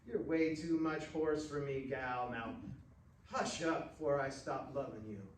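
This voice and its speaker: Man playing a laconic, slow-talking rodeo cowboy. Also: mid-range voice, natural voice. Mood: teasing. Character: laconic, sweet-natured rodeo cowboy, male. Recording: roomy, distant mic with faint noise.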